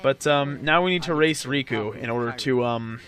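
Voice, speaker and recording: A man's voice: mid-range voice, normal speed, a bit bright. A man hosting a let's play, giving casual, joking gameplay commentary to a co-commentator. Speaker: host of a video game let's play.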